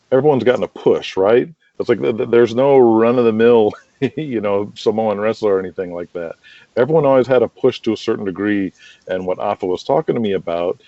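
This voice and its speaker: A man's voice: lowish voice, dark tone, no room sound, muffled recording. A man chatting casually and enthusiastically as an interview guest. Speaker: podcast guest, wrestling promoter and radio drama creator.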